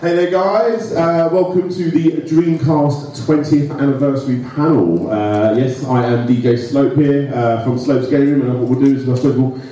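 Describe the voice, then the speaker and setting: A man moderating a live panel, relaxed, with hesitant fillers and frequent laughs. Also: medium pitch, normal speed, few pauses. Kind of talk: casual live panel hosting. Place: live expo stage, large hall. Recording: echoey hall, distant mic.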